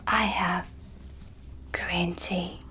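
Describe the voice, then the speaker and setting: A woman voicing a sly, composed dark witch in a soft, breathy, deliberate ASMR roleplay, close to the mic. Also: fairly low pitch, fairly even intonation, slight background noise. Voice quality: breathy, whispery. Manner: slow, deliberate, theatrical. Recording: music behind the voice. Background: ambient music.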